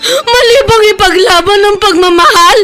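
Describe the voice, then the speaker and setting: Shrill sobbing young woman, pleading. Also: normal speed, bright, forceful tone, soft background music. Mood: anguished. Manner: tearful, wailing, pleading. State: crying. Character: anguished young woman in forbidden love. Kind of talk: melodramatic radio drama acting.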